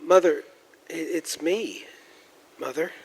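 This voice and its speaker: A man's voice: on the high side, slow pace, husky, exaggerated voice. A man playing a distraught family man in a 1940s-style radio drama, pleading and stammering with intense emotion. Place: large church, performed live. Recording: live stage recording in a reverberant hall.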